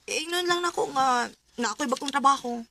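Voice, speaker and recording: High-voiced, earnest young daughter. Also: female voice, brisk, pushed and bright, a little hiss or hum. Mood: resigned. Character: earnest, hard-up young daughter desperate for work. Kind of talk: Filipino radio drama acting.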